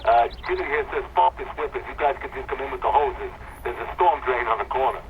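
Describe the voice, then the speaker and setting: Radio drama casting: a crude, wisecracking police officer, heard through a muffled two-way radio with heavy noise. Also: male voice, medium pitch, fast. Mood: callous, joking. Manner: flippant, crude. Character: callous, joking city cop on police radio. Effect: walkie-talkie radio.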